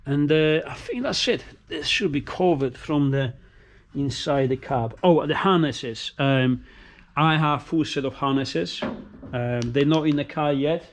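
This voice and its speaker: A man's voice: a little high, measured pace. A man who grew up in Italy, speaking English as a second language, rambling casually with many fillers and restarts while showing his race car. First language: Italian.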